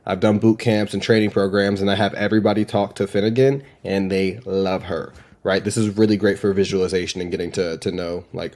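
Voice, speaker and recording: A man's voice: sits low, steady tone, a little fast, darkish tone. A man giving casual demo commentary as a presenter, informal and slangy, with an enthusiastic manner. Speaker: presenter demonstrating AI voice assistants.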